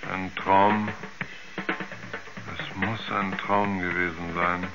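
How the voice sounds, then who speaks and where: An actor playing a shaken astronaut in a radio drama, speaking haltingly and repeating himself as if dazed. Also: male voice, low voice, flat delivery, loud music behind the voice. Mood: dazed, haunted. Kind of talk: 1970s German radio drama acting. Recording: dull vintage radio play sound.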